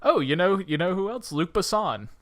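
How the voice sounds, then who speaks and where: A man's voice: high voice, animated, normal speed, fairly bright tone. A man co-hosting a film podcast, talking casually and animatedly, often with a giggle in his speech.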